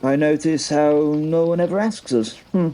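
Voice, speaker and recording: An actor playing a wry, battle-hardened but nervous medieval knight in an audio drama, halting and stammering. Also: male voice, a little high, mellow, a little hiss or hum, close to an everyday voice. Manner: stammering, hesitant, chuckling.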